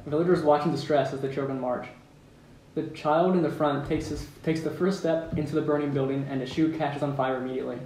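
A man with a slightly high voice reads out stage directions at an amateur table read, plainly and a little amused. He sits away from the mic in an echoey room, with faint noise behind him. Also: fairly even intonation, a little fast, a little mellow. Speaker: narrator reading stage directions. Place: room at home around a wooden table. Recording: roomy, distant mic with background noise.

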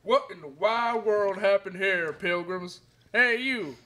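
A man's voice: high voice, slow, noisy background. A young man playing an earnest, folksy Old West sheriff in a live radio play. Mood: concerned. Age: young adult. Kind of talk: student live radio play, read from script. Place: classroom studio, indoors.

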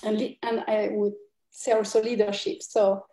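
Woman in an echoey room, mellow voice. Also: mid-range voice, flat delivery, moderate pace. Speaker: participant in an online group conversation. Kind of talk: personal sharing, casual chat. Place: indoors on a group video call. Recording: roomy, echoey video call.

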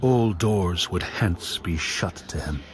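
An actor playing a grave storyteller who narrates Norse legends in a solemn, deliberate way for a video game. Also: male voice, sits low, a little hiss or hum. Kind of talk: scripted saga narration.

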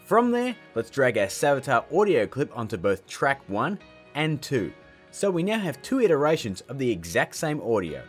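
A man's voice: medium pitch, wildly swooping pitch, normal speed, faint music behind. A man in his thirties hosting a casual scripted tutorial in a jokey, emphatic, theatrical way. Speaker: tutorial host.

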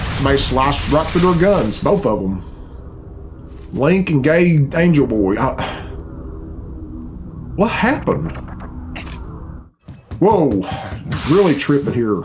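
A middle-aged man giving casual, unscripted reaction commentary in a blunt, sweary, bemused way, recorded at a computer in a room at home. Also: fairly high pitch. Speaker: reaction video host. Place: small room at home, indoors. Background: game cutscene music.

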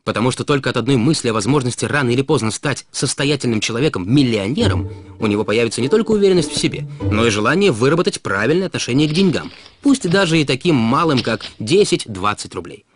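A man's voice: mid-range voice, animated, fast, slight background noise. A man delivering scripted explainer narration in a didactic way, putting rhetorical questions straight to the listener. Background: background music.

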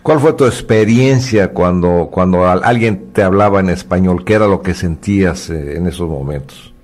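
A man hosting a radio interview in a studio, framing his questions haltingly and repeating words as he goes. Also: deep voice, darkish tone. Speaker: radio host.

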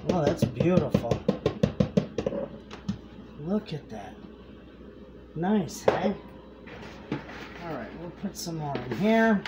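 A middle-aged woman chatting casually to camera in her home kitchen as she cooks, homey and quick to laugh. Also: sits low, big pitch swings. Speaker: homestead cooking vlogger. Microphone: camera across the kitchen. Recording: noisy home kitchen audio.

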